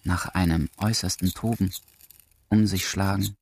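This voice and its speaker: A man narrates literary prose for a radio drama in a measured, deliberate, emphatic way. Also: sits low, steady tone. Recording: clearly noisy recording with clicks.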